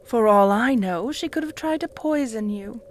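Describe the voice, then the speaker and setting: A woman voicing a cruel, jealous female vampire who taunts the listener in a slow, purring voice that often drops to a whisper. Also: a little high, lively intonation, lightly acted. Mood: jealous, possessive. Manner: taunting, with soft mocking laughs. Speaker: voice actor in audio roleplay. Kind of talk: audio roleplay addressed to the listener.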